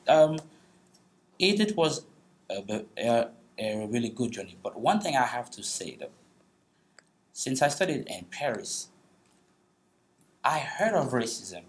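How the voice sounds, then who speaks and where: A middle-aged man from Haiti with a Haitian accent, telling personal stories as a guest speaker, halting with many restarts and frequent laughs, in a large meeting room. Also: mid-range voice. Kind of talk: storytelling on a panel.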